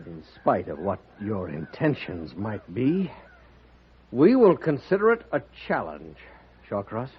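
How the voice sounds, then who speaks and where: An actor playing a proud, desperate man in a 1950s radio western, with a husky voice and tense, dramatic delivery. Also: on the low side, sing-song, a little slow, exaggerated voice. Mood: defiant, grim. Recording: muffled vintage radio recording.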